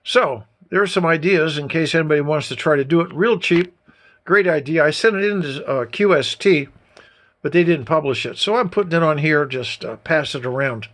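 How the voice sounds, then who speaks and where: A man with a somewhat higher-pitched voice talks through a homemade hobby demonstration. He is informal and folksy, explaining each step in a casual way as he shows it on a handheld camera indoors. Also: normal speed, a bit bright. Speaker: amateur radio hobbyist presenter. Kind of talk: casual hands-on demonstration talk.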